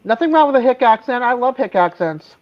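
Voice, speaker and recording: A man's voice: very high voice, animated, fairly quick. A man with a faint Boston edge to his speech, chatting as a guest. He rambles, restarts his sentences and chuckles to himself. His audio comes through dull and muffled. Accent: slight Boston. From born near Miami, raised mostly in New England. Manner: rambling, self-correcting, chuckles. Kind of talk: casual chat.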